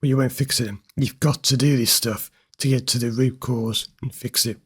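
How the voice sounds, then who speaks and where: A man hosting a therapy channel, slightly hoarse from recording many videos, commenting directly and with mild amusement on a recorded session. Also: mid-range voice, steady tone, normal speed. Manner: direct, explanatory, mildly amused. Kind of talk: commentary over an interview clip.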